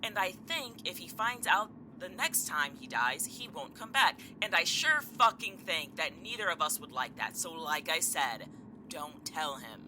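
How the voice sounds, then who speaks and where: A man's voice: a little high, very animated, a little slow. An actor playing an evasive, sardonic man with a dry, insistent manner in an audio drama. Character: evasive, sardonic man hiding a grim secret. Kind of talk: naturalistic audio drama acting. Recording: clear ambient noise.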